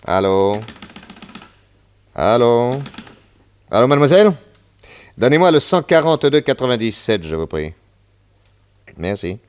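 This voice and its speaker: An actor playing a confident, unflappable private detective in a French radio serial, breezy and commanding. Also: male voice, medium pitch, sing-song, a little slow, pauses often, clear, resonant tone. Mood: breezy, assured.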